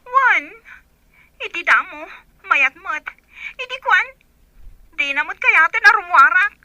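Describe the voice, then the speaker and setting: A woman's voice: extremely high pitch, animated, takes it slowly, sharp tone, no room sound, full character voice. A woman playing a naive, aggrieved young woman in an amateur audio drama, with an Ilocano accent. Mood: distressed.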